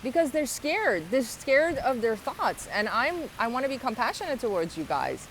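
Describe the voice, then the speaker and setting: A woman's voice: a little high, very animated, moderate pace, a bit bright, a little hiss or hum, poor audio. A woman with a slight foreign accent, talking to her viewers in a casual, earnest opinion vlog with many ums and rising, emphatic questions. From abroad, moved to the United States at twelve. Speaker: vlogger.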